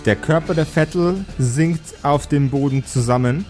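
Male game master, higher-pitched, dramatic storyteller who slips into casual rules talk, music bed clearly present. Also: measured pace, a little mellow. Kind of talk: narrating an improvised tabletop role-playing game.

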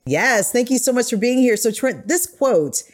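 A woman's voice: a little high, expressive, normal speed. A woman hosting a podcast in an emphatic, effusive conversational way. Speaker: guest podcast host.

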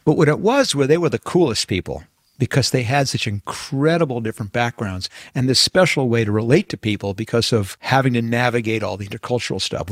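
Lively, animated male podcast host. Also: mid-range voice, no echo, studio quality. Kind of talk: podcast interview, scripted intro then chat.

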